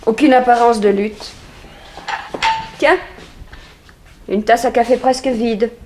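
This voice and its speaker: A woman playing a detective's spirited, inquisitive companion in a 1960s French radio drama, expressive and questioning. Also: fairly high pitch, takes it slowly, noisy background. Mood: curious.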